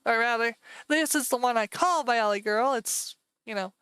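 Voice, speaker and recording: A woman's voice: a little high, normal speed, a bit bright, smooth, no room sound. A woman with a Michigan accent streaming live from home, chatting casually and rambling with lots of "like" and "you know".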